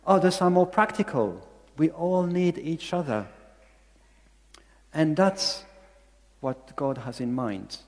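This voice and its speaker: A man's voice: fairly high pitch, expressive, takes it slowly, pauses often, in a large hall. A man from Belgium with a Belgian accent, preaching a sermon in English as a second language, earnest and repeating key phrases for emphasis. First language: not English. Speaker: pastor.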